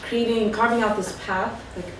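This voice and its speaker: A woman's voice: a little high, fairly even intonation, normal speed, a bit bright. Woman panelist in an echoey room. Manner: chatty, many fillers. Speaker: alumna panelist. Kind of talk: casual career advice on a panel. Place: lecture room. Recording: echoey room, distant mic, background noise.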